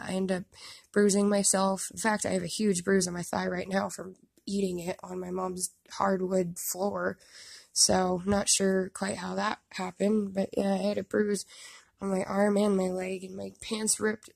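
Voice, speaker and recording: A young woman with a stuffed-up nose, casually talking through her drawings into a phone and poking gentle fun at her own work. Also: mid-range voice, creaky, husky, no echo. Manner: self-deprecating, rambling with restarts. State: stuffed up, congested. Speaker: artist showing her drawings. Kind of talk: casual narration of a drawing time-lapse. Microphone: phone camera.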